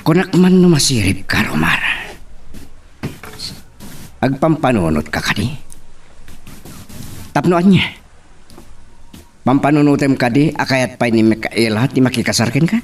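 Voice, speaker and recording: A man's voice: mid-range voice, animated, unhurried, pauses often, recorded from a distance. A man playing a stern, reproachful rival in love in an Ilocano drama. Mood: urging. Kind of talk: Ilocano radio-style love drama acting.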